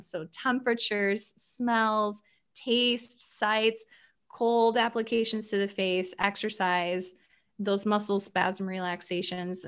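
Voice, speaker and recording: A woman therapist guest in an interview, explaining coping methods in a lively, animated way. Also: medium pitch. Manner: animated, explanatory, acts out quoted thoughts. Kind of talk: interview conversation.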